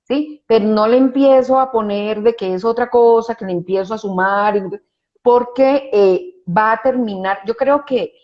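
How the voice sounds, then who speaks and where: A woman's voice: fairly high pitch, unhurried, lo-fi sound. A woman psychologist, explaining her view in an interview, often restarting phrases and adding tag questions for agreement. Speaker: psychologist guest.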